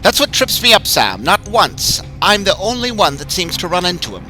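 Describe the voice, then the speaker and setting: A man's voice: high-pitched, big pitch swings, normal speed, pushed and bright, faint music behind, voice pushed up, exaggerated voice. A man voicing a frightened middle-aged haunted house owner who talks nervously and insistently. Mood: worried.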